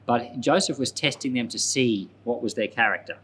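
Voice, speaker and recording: Man, medium pitch, pastor's informal Bible commentary, explanatory, repeats phrases for emphasis, slightly noisy recording. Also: normal speed, fairly bright tone. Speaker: pastor and Bible podcast host.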